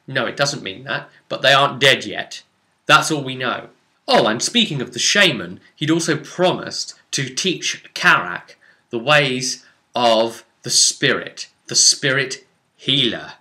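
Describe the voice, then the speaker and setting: A man's voice: medium pitch, expressive, measured pace, pushed and bright. A man speaking as a game master, telling the story of a tabletop role-play session informally, with emphatic, dramatic delivery. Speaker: game master recapping a campaign.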